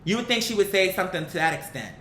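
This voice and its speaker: A woman's voice: animated, fast talker, fairly bright tone. Blunt American woman in an echoey room. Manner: blunt, emphatic, slangy, with rhetorical questions and acted-out quotes. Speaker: vlogger, commentary host. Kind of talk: opinionated casual commentary to viewers. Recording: roomy echo with faint rumbling noise.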